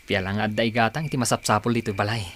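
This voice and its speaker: A man's voice: on the low side, steady tone, very fast, fairly bright tone, slight background noise, lightly acted. A man acting in a radio drama as an earnest young son who dreams of escaping poverty. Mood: earnest, subdued.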